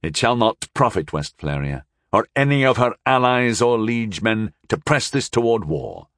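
A man's voice: on the low side, very animated, normal speed, a bit bright, no echo, studio quality. A man voicing a bold knight-mage hero in an audiobook, lecturing with cutting, oratorical defiance. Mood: defiant challenge.

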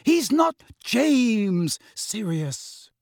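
Audiobook role: male narrator as sharp, protective mum, middle-aged, scolding in a high, swooping voice, very dry sound. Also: big pitch swings, normal speed, breathy edge, no echo, exaggerated voice. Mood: rising anger. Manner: emphatic, scolding. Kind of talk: audiobook character voice.

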